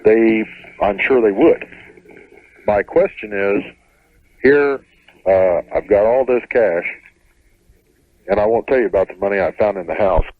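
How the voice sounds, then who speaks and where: Guy phoning a radio show, kinda low voice, measured and halting, thin phone line. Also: lively intonation, no room sound. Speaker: caller to radio advice show. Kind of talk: hesitant, careful explanation over the phone.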